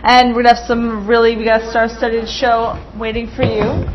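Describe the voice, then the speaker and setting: A woman's voice: on the high side, level tone, normal speed, a loud crowd. A woman hosting a local TV show in a chatty, playful way, welcoming her guests. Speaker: TV host. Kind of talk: casual hosting. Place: small studio with green screen. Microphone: desk mic on stand. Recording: muffled studio audio with hiss.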